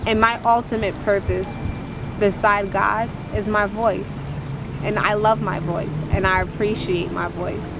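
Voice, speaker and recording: A woman's voice: medium pitch, fairly even intonation, a little slow, background noise. A woman with a naturally strong voice speaking to camera as a motivational speaker and poet, in an earnest, preachy, uplifting cadence that repeats its phrases. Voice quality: strong, powerful voice. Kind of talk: inspirational testimony to camera.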